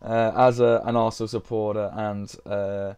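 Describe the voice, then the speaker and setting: Low, mellow young man with croaky throat. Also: flat delivery, normal speed. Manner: hesitant, many ums and uhs. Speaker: fantasy football streamer. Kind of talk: casual live-stream chat.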